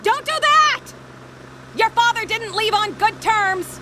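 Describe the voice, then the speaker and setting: A woman's voice: very high voice, lively intonation, slow, very noisy, full character voice. A woman voicing a fussy, doting middle-aged bee mother, nagging and boastful. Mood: scolding.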